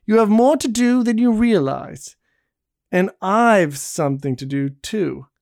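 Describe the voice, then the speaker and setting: A man voicing a spirited young woman who teases and gives orders, raising his voice high and lively for her. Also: unhurried, gravelly, no room sound, studio quality, exaggerated voice. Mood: commanding. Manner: teasing, imperious.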